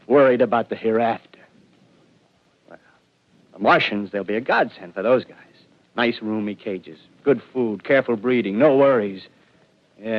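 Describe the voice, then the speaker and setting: A man's voice: on the low side, lightly acted. A man doing a feverish, scheming ex-militiaman. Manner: rambling, feverish, swings between hushed and loud. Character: desperate male ex-militiaman scheming to survive the invaders. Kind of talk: 1930s radio drama acting. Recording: dull lo-fi vintage radio broadcast.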